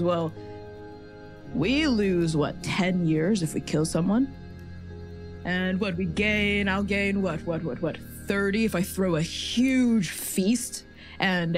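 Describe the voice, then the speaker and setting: A woman runs a tabletop game, improvising the story in a conversational way and often seeking agreement as she goes. Her voice is mid-pitched, with soft music behind it. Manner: conversational, checking in with "right?". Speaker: game master.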